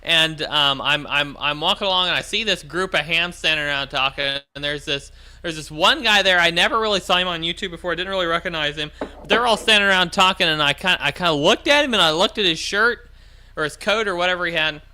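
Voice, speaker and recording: A man's voice: on the high side, pushed and bright, clear, resonant tone. A man chatting casually on a ham radio livestream as its host, in an agreeable, jokey manner. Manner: agreeable, jokey, quick to chuckle. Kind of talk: casual livestream chat about amateur radio.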